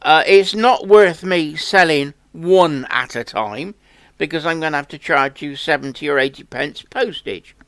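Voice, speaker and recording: A man's voice: a little high, unhurried, fairly bright tone. A man hosting a country sports channel, making an earnest, plain-spoken campaign appeal to viewers. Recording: echoey room.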